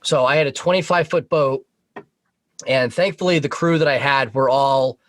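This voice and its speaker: A man tells work stories as a guest on a casual talk show. His language is informal and sweary, his pitch sits in the middle, and the sound is dry and close. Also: moderate pace, fairly bright tone, no room sound. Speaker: podcast guest, Coast Guard boat operator.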